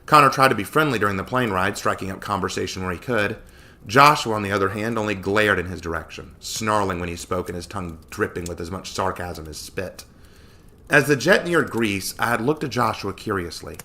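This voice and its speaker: A man's voice: fairly low pitch, a little fast, bright, forceful tone. A man reading a story aloud in a dramatic audiobook style. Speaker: story reader.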